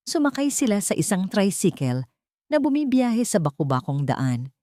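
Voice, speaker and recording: A Filipino woman narrates a dramatic love story in a low register. The recording is dry, with very little room sound. Her delivery is scripted storytelling. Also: warm tone, dry recording.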